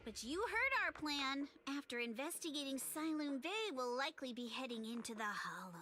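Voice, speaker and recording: A woman's voice: sits high, big pitch swings, normal speed, bright, forceful tone, exaggerated voice. A woman voicing a spirited, polite young woman in an anime-style video game, articulate and earnest. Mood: confident. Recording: game dialogue over clear music.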